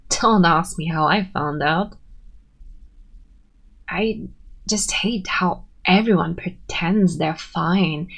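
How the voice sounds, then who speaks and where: A woman's voice: on the low side, gravelly, exaggerated voice, low-quality recording. A woman playing a tsundere friend in an ASMR roleplay, brusque and defensive but secretly sweet. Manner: brusque, defensive, softening into shy warmth. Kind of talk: ASMR roleplay, scripted monologue to listener.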